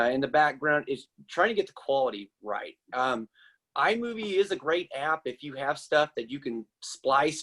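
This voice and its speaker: A man on a webinar video call, answering as a video creator in a relaxed, rambling way. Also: mid-range voice, low-quality recording. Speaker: panel guest, video creator. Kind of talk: casual panel answer.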